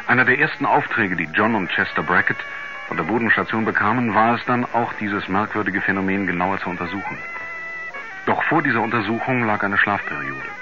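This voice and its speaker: A sober storyteller, played by a man with a low voice, reporting mysterious events in 1970s radio drama. The recording is slightly dull, with music behind. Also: close to an everyday voice. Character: sober male narrator, friend of an astronaut, reporting mysterious events. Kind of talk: scripted radio play narration.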